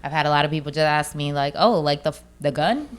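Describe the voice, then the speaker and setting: A woman's voice: deep voice, slight background noise. A woman in her thirties from Chicago, born in Mexico, talking casually and informally as an interview guest. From born in Mexico, raised in Chicago. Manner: slangy, informal, quick to laugh. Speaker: interview guest, business owner. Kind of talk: casual chat.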